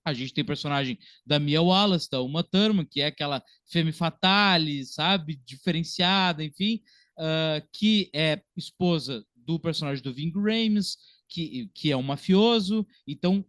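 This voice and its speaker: A high-pitched male voice with a Brazilian accent, recorded dry and close. He discusses films in a colloquial, emphatic way, as a co-host would in a relaxed podcast chat. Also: unhurried, no room sound. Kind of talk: casual film discussion.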